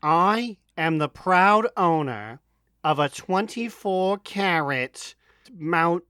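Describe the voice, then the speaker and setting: A man's voice: sits high, animated, slow, pushed and bright, clean tone, no room sound, clean studio sound. A man co-hosting a casual gaming podcast, wryly griping with the odd swear word. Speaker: podcast co-host. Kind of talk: casual chat about online gaming.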